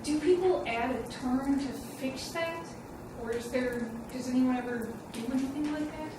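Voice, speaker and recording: High-voiced woman, distant in echoey room. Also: normal speed, background noise. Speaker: student asking questions. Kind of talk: spontaneous classroom question. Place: lecture room, far from mic.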